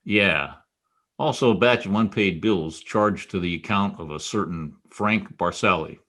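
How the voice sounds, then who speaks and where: Man acting a low-voiced, wry private detective. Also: unhurried, a bit bright. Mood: persuasive, businesslike. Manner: wry, hard-boiled. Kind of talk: old-time radio detective drama re-creation.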